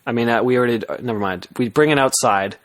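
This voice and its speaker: A young man with a mid-range voice talks as a podcast co-host. He tells his story loosely and casually, doubling back on himself and swearing offhandedly as he goes. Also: medium pitch. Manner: halting, self-interrupting, swears casually. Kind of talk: casual storytelling chat with friends.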